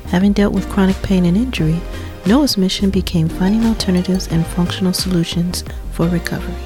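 A woman's voice: lowish voice, brisk, dark tone, soft background music. A woman hosting a podcast, giving an upbeat, welcoming introduction and then interviewing her guest. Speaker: podcast host.

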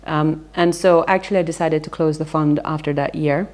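A woman's voice: sits low, steady tone, a little fast, a little mellow. A woman in her thirties, from England, telling her career story candidly in a casual interview, with frequent fillers. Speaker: interviewee, company founder.

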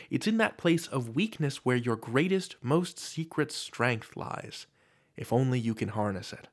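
A man hosting a podcast from a script, with a playful, theatrical delivery. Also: medium pitch. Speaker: podcast host.

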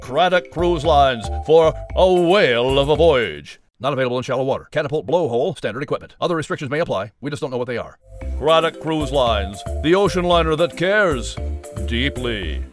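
A man's voice: mid-range voice, expressive, fairly quick, faint music behind, natural voice. A man playing a slick, upbeat commercial announcer in a parody radio advertisement.

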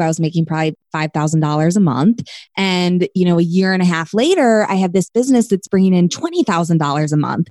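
A woman hosts an interview podcast, talking candidly and laughing easily as she sets up long questions. Her voice sits slightly low for a woman, and the sound is dry and close to the mic. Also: a little mellow, no echo. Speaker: podcast host. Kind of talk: conversational interview.

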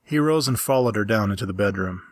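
A man's voice: lowish voice, animated, quick pace, no echo, clean studio sound. A man reading first-person fiction aloud as a story narrator. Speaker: amateur story reader.

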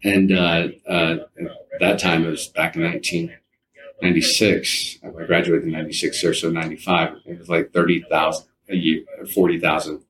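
A middle-aged man speaks in a very low voice. He tells personal stories in a casual, self-deprecating way, often quoting remembered exchanges as a guest in an interview. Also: flat delivery, moderate pace, a bit bright, poor audio.

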